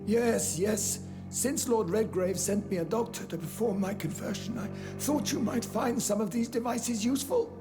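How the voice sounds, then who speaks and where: An actor playing a dying, rich and ruthless old British industrialist in a video game, with a pompous, theatrical, formal delivery. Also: male voice, high-pitched, moderate pace, over music, close to an everyday voice. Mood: impatient. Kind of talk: video game dialogue acting.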